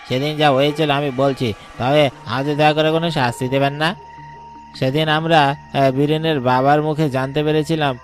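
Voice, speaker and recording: A man acts out a fearful young village lad in a cartoon mystery. He talks haltingly and pleadingly in a mid-range voice, with faint music behind. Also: mid-range voice, natural voice. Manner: hesitant, pleading. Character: timid, frightened village boy pleading with friends and elders.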